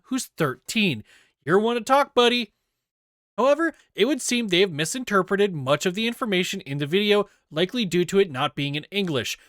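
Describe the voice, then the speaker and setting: A man reading a written document aloud in a deliberate, scripted narration style. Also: high-pitched, fairly bright tone, dry recording, studio quality. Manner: deliberate, spells out quote marks aloud. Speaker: reader of an investigation document.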